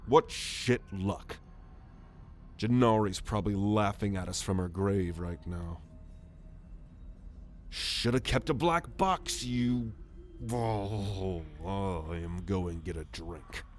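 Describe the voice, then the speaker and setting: A man's voice: sits low, slow, slight background noise. A man playing a grumpy, cynical, world-weary alien spaceship crewman who grumbles sardonically in an ASMR roleplay audio drama. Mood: frustrated, weary.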